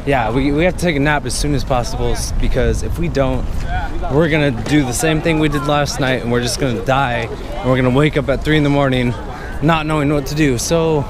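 A man's voice: medium pitch, fairly even intonation, brisk, crowd noise. A man in his twenties chatting casually to his vlog in a laid-back, slangy way, recorded on a handheld action camera. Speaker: vlogger for a vape brand team. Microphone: action camera at arm's length. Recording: noisy action-camera audio with ambient hum.

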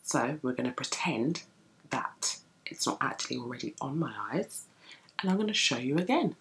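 A young woman with a deep early-morning voice, chatting through a makeup tutorial at home while she works on her eyes. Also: lively intonation, moderate pace, slight background noise, poor audio. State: just woken, deep morning voice. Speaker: beauty vlogger. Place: home room with bookshelves, indoors.

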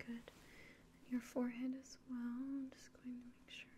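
A woman in her twenties doing a whispered ASMR roleplay in a soft, breathy, gentle and reassuring voice, close to the mic. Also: fairly high pitch, level tone, normal speed, slight background noise. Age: 20s. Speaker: ASMR performer playing an alien medical examiner. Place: small indoor room.

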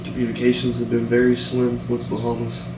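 A man's voice: medium pitch, monotone, brisk. A man chatting casually at home, picked up from across the room. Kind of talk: casual chat. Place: garage and home kitchen. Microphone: camera across the room. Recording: far from mic, echoey, very noisy.

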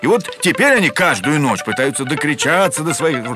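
A man's voice: fairly high pitch, sing-song, a little fast. A man voicing a sensible, bookish bear in a cartoon, explaining things with a mildly teasing manner. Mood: earnest, explanatory. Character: sensible, bookish adult brown bear, male. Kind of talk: animated cartoon voice acting. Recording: music behind the voice.

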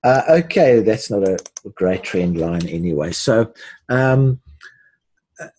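An older man hosting a live webinar, giving unscripted commentary in a halting way with repeated words and restarts, his voice husky. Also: on the low side, animated, darkish tone. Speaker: webinar host teaching forex chart analysis.

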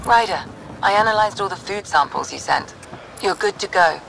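Woman as a creaky-voiced, deadpan scientist. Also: medium pitch, moderate pace, noisy background, close to an everyday voice. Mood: wry, reassuring. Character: dry, wry food-testing scientist. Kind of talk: video game dialogue acting.

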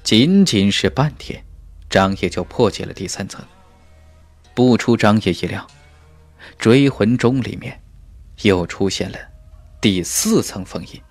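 Animated low-voiced male audiobook narrator. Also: measured pace, pauses often, no room sound, faint music behind. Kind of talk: scripted dramatic fantasy novel narration.

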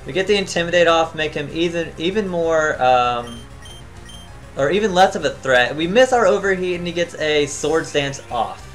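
A tired man giving casual, chatty commentary as he plays a video game, thinking out loud and humming to himself. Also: fairly high pitch, lively intonation, moderate pace, soft background music. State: tired, voice near cracking. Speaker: gaming channel host.

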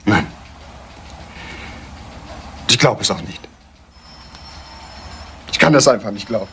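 Shocked husband in old crime radio drama, lowish voice, cant believe it, bit of background noise. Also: male voice, animated, takes it slowly, fairly bright tone, exaggerated voice. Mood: disbelieving, subdued. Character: shaken husband of a murdered woman, stunned, disbelieving. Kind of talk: German crime radio play acting. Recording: noisy retro radio play recording.

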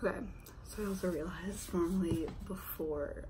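A woman's voice: lowish voice, steady tone, moderate pace, a little hiss or hum, poor audio. A young woman in her twenties chatting casually while doing her makeup in her bedroom, losing her voice and talking half in a whisper, rambling and self-deprecating. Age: 20s. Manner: half-whispering, softly to keep quiet for roommates. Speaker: lifestyle vlogger. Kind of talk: casual get-ready-with-me makeup chat. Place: bedroom, indoors. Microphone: phone or laptop camera at arm's length.

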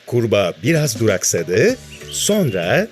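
A narrator telling a scripted children's story in an expressive way. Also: medium pitch, measured pace, breathy edge. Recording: music behind the narration.

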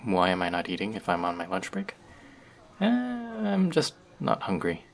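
A man's voice: fairly high pitch, wildly swooping pitch, moderate pace, gravelly, exaggerated voice. A man voicing a friendly, teasing young male barista in an audio roleplay, chatty and playful toward a regular customer.

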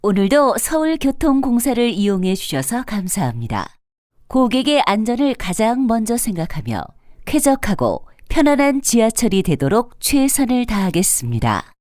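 A woman announcer with a fairly high, lively-pitched voice delivers formal transit announcements. She enunciates politely and carefully, in a very dry recording. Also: normal speed, dry recording. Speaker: subway train announcer. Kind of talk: scripted automated transit announcement.

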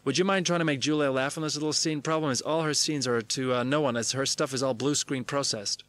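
A man's voice: mid-range voice, steady tone, fairly bright tone, close to an everyday voice. Guy doing an unassuming boyish director, offhand, all business, memoir audiobook. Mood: casual, brisk. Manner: quick, casual, businesslike. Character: fast, unassuming, boyish American film director.

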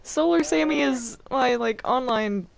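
A woman's voice: sits high, a little slow, gravelly. A young woman chatting casually and teasingly while playing a roleplay game. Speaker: roleplay channel host playing a character. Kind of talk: casual chat while gaming.

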